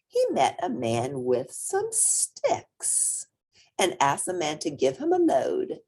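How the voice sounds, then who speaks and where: A woman reading a children's story aloud expressively, with drawn-out emphasis and playful asides. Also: low-pitched, wildly swooping pitch, a little mellow, slightly rough.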